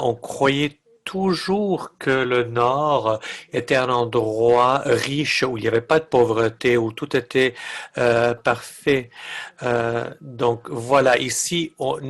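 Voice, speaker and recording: A man interpreting a webinar talk live, with a halting, phrase-by-phrase delivery. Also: mid-range voice, fairly bright tone.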